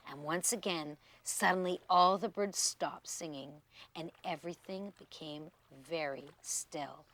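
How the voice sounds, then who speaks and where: A woman storyteller reading a children's tale expressively, with dramatic pauses and emphasis. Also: low-pitched, a bit bright.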